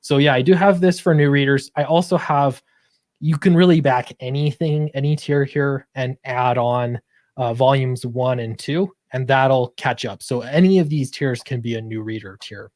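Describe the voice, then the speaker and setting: Male interview guest, earnest and conversational, medium pitch, very little room sound. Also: moderate pace, a little mellow, no room sound, studio quality. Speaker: guest comic book creator. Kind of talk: casual interview chat about own project.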